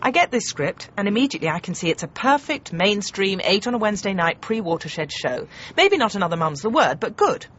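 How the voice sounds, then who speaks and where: A woman's voice: mid-range voice, very animated, a bit bright, dull audio. Bossy sardonic woman producer, voice swings all over, radio comedy drama. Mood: indignant storytelling. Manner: brisk, interrupting, emphatic, sardonic. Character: brisk, worldly, domineering female TV comedy producer.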